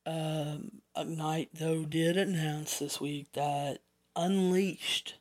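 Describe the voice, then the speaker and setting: Southern-accented solo podcaster, unhurried, wanders into side chatter, corrects themselves. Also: medium pitch. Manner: rambling, chatty asides, self-corrections. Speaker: podcast host. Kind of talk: casual solo podcast rundown.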